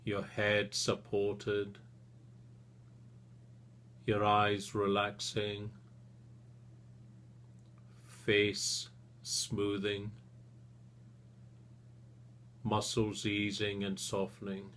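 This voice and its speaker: Slow, low-pitched man guiding relaxation. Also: completely flat delivery. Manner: soothing, gentle, with long pauses between short phrases. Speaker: therapist leading a relaxation session. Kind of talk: guided relaxation meditation.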